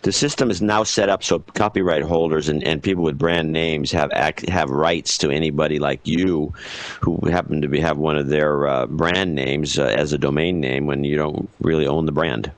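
A man co-hosting a podcast, talking in an opinionated, animated way in casual chat. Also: a bass voice, moderate pace, dry recording. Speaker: podcast co-host.

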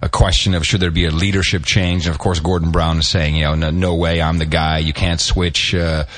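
Middle-aged male co-host, low voice, chatty and rambling, restarts a lot, animated asides. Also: a little fast. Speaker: podcast co-host. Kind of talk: casual chat.

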